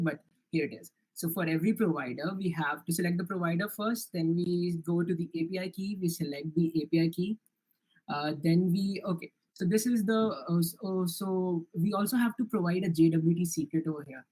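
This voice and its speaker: A young man with an Indian accent presents his software project in a live online demo, answering questions earnestly with frequent restarts and fillers. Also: high voice, a little fast, low-quality recording. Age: young adult.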